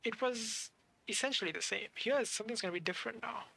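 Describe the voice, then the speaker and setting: A woman's voice: fairly high pitch, fast, vocal fry, fairly bright tone, breathy edge. A young woman giving casual game commentary as a streamer, musing aloud and searching for words as she goes. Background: game music.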